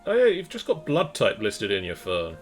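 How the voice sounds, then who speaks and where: A man's voice: lowish voice, huge pitch swings, normal speed, soft background music. A man co-hosting a game stream, giving casual commentary.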